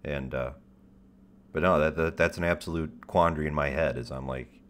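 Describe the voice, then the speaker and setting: A man hosting a livestream in casual chat, with a deep radio-style voice, rambling in stop-start phrases with a wry, self-deprecating tone and casual swearing. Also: steady tone, moderate pace. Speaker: livestream host.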